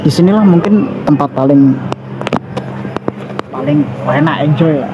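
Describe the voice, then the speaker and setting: A man's voice: on the high side, measured pace. A young man, chatting loosely in a casual vlog filmed on a phone at an outdoor cafe terrace. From Indonesia. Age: young adult. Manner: loose, rambling, mixes in local slang. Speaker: vlogger. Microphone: handheld phone camera held close. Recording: muffled phone audio, loud outdoor traffic.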